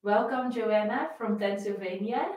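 A woman's voice: mid-range voice, measured pace, darkish tone, far from the mic. Dutch-accented woman in a roomy space. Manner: friendly, greeting viewers by name, chatty. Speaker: violin teacher. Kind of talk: live-stream lesson chat. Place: home room with framed pictures, indoors.

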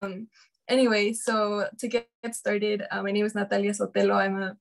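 A woman from Mexico City talks casually over a video call. Her voice stays flat in pitch and has a gravelly fry to it. She chats easily and slips between Spanish and English. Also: normal speed. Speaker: exhibiting artist.

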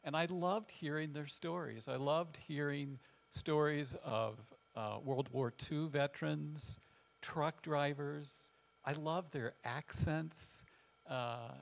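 Older male guest speaker, Midwestern US accent, gravelly with vocal fry, thoughtful pauses, telling personal stories. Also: on the high side. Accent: American Midwestern. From west central Illinois, United States. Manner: halting, reflective, with frequent pauses. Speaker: guest speaker, family counselor. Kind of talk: informal presentation with personal storytelling. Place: large church hall with wooden floor, small seated audience.

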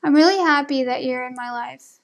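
A woman's voice: sits high, fairly quick. A woman video host talking casually in a questioning, conversational way, as if on a phone call.

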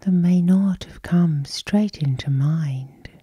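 Slow, whispery dark-voiced woman guiding sleep. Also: lowish voice, dry recording, muffled recording. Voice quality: breathy, whispery. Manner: soft, slow, lulling. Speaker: hypnosis session host. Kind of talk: soothing sleep hypnosis guidance, ASMR.

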